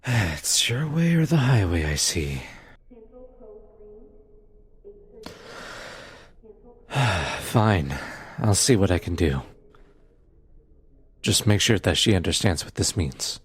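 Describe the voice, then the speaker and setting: A man playing a firm, matter-of-fact police detective in an audio roleplay drama, with a measured, official manner and a husky voice. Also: low-pitched, very animated, heavily acted. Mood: resigned.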